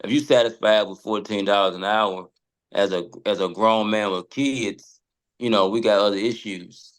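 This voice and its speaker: A man's voice: lowish voice, fairly even intonation, normal speed, smooth. An American man in a casual discussion, speaking informally and hesitantly, often circling back over his words. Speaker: podcast guest.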